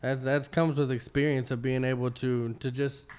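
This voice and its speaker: Man, interview host, casual questions, clear voice, studio. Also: mid-range voice, normal speed. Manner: relaxed, asks questions with fillers and restarts.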